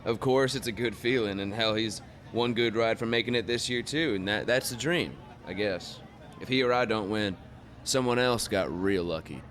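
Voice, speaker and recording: Cocky low-voiced young bull rider, acted by a man. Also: normal speed, fairly bright tone, close to an everyday voice. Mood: offhand, cocky. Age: 20s. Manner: offhand, cursing, laconic. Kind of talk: radio drama interview acting.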